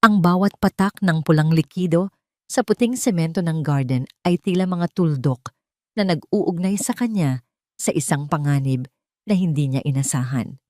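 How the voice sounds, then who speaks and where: A woman's voice: low voice, normal speed, dry recording, studio quality. A woman delivering scripted, dramatic narration as the storyteller of an audio drama.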